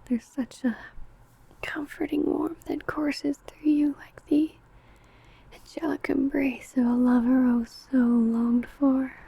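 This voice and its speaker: A woman's voice: sits high, little pitch movement, slow pace, gravelly, mellow, a little hiss or hum, heavily acted. A woman playing an ancient, possessive, doting female vampire in a whispered, intimate ASMR roleplay, crooning breathily. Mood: hungry adoration.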